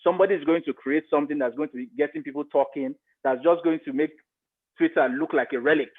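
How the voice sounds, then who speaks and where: A man's voice: on the high side, fast talker, husky. Nigerian man, thin phone-like sound. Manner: emphatic, repeats phrases for emphasis. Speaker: interview guest, media expert. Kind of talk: conversational interview commentary.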